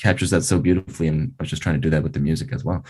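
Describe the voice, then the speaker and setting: A man's voice: a bass voice, fairly even intonation, rapid-fire, a little mellow, slightly rough. A man, a film composer, talks through his ideas in a casual interview, with frequent fillers like "you know" and "like". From Canada.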